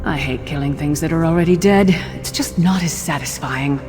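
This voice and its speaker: A woman's voice: sits low, moderate pace, a little mellow, natural voice. An actress playing a tough, loyal female warrior in a fantasy game, blunt and wry. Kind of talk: fantasy video game acting. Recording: long reverb, music behind the voice.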